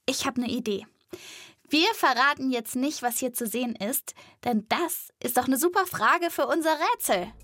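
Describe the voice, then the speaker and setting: High-voiced woman hosting a children's podcast. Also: normal speed, fairly bright tone, dry recording. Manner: direct, chatty address to young listeners. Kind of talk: lively scripted narration for children.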